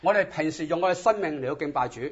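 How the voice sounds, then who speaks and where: A man's voice: fairly high pitch, animated, very fast, breathy edge. A man preaching in short phrases, exhorting listeners with rhetorical questions. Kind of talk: sermon in short phrases with pauses for interpretation. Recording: dull, lo-fi audio.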